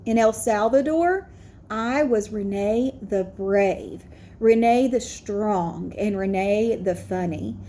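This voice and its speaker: A woman voicing a proud, earnest schoolboy in an expressive picture-book read-aloud. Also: mid-range voice. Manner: expressive, deliberate storytelling. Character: proud, earnest young schoolboy narrating his story.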